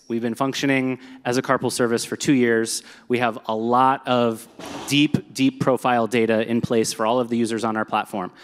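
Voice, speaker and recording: A man giving a live presentation to an audience, talking in an upbeat, conversational way with some fillers. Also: medium pitch. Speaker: presenter at a company meetup. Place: large echoey hall with a live audience. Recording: long hall reverb.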